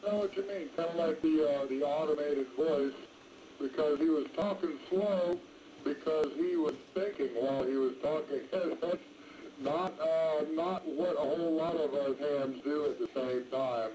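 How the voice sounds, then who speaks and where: A man's voice: on the high side, a little slow, warm tone. A man chatting casually over two-way radio in a folksy, rambling way as an amateur radio operator. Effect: two-way radio transmission. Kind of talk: casual ham radio chat. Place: mobile, in a pickup truck. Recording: noisy ham radio transmission.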